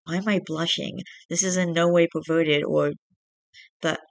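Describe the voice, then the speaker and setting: A young man reading the lines of a gentle, caring teenage boy in a soft, quiet, raspy voice. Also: on the high side, fairly even intonation, normal speed, dry recording.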